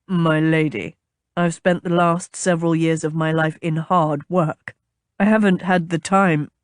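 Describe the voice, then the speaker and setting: Woman putting on a low, gruff, pompous captain. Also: level tone, a little slow, dry recording, studio quality. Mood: dismissive, guarded. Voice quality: low, growly. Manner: clipped, exasperated, formal. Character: gruff, pompous man, a stiff captain and bodyguard.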